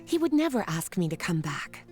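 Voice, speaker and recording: A woman's voice: lowish voice, sing-song, fairly quick, a little mellow, soft background music. A woman playing a poised, self-assured, teasing scholar turned librarian in a video game, speaking languidly. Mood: coolly firm.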